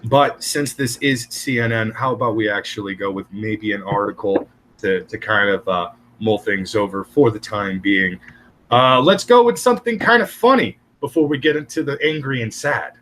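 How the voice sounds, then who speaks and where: A man's voice: lowish voice, normal speed, fairly bright tone. A man hosting a live stream, chatting casually and bluntly with heavy swearing and exasperated rants. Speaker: stream host. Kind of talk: casual profane stream chat.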